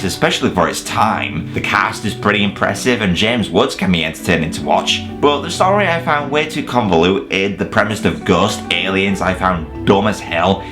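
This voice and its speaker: A man with a fairly low voice and a very animated delivery narrates a scripted review. He is opinionated and casual, happy to swear, and there is light music behind him. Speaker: video presenter, film reviewer. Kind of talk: scripted review narration for an online countdown video.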